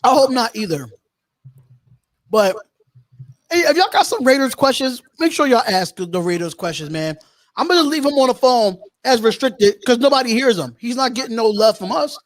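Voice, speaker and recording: Man, very high voice, dry close sound, casual call-in show host, slangy, repeats himself. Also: expressive, normal speed, no room sound. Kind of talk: casual live stream chat.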